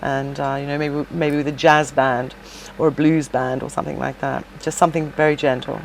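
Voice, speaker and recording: Low-voiced chatty woman, interview guest. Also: little pitch movement, fairly quick, a little hiss or hum, low-quality recording. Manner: chatty and warm, many fillers and restarts. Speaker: podcast guest, shop owner and former singer. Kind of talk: casual interview chat.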